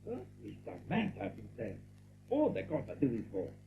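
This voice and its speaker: A man's voice: mid-range voice, huge pitch swings, moderate pace. A man voicing a boastful, irritable bird who blusters in broken English in an audio drama. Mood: indignant. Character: excitable gruff foreign-sounding bird, boastful and irritable. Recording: noisy, narrow-band old audio drama recording.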